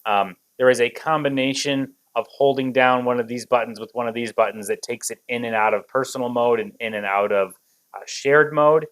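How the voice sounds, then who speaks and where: A man's voice: mid-range voice, fairly even intonation, moderate pace, fairly bright tone. A man reviewing a product in an unscripted walkthrough, explaining things step by step.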